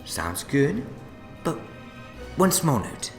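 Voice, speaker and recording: An actor playing a gleefully smug, scheming time-travelling villain in a fan audio drama, theatrical, wheedling and gloating. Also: male voice, on the high side, sing-song, very slow, full character voice. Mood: mock-jovial. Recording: echoey long reverb, music behind.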